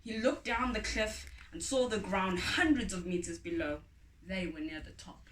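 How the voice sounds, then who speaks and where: A woman reading a storybook expressively, with deliberate, dramatic phrasing, as a storyteller. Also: mid-range voice, a little hiss or hum. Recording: echoey room, distant from mic.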